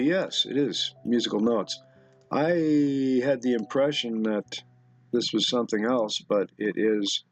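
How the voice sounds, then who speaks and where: A middle-aged man rambles slowly through his thoughts, halting and musing as he comments on pictures. His voice has a gravelly creak, and faint music plays behind him. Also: medium pitch. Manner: musing, halting, talks to himself. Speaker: video host. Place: indoor room at a computer.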